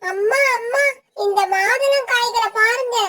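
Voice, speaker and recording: A tiny, earnest girl bird from a children's cartoon, voiced by a woman. The voice is extremely high and piercingly bright, with a childlike piping delivery. It sounds slightly off the mic. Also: unhurried, no room sound, clean studio sound, far from the mic, heavily acted. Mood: earnest, puzzled. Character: small earnest young female bird and gentle forest fairy.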